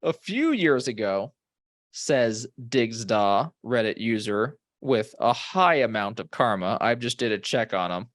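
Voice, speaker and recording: A man's voice: medium pitch, expressive, unhurried, a bit bright, no room sound, clean studio sound. A man co-hosting a comedy podcast, reading ghost stories aloud with wry, bantering asides. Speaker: podcast co-host.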